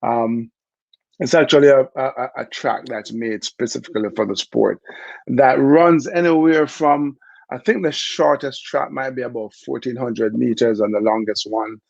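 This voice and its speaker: A middle-aged Jamaican man telling his story in a relaxed, chatty interview, with many fillers along the way. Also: mid-range voice, moderate pace, creaky, no echo, muffled recording. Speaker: interview guest, former Olympic bobsledder.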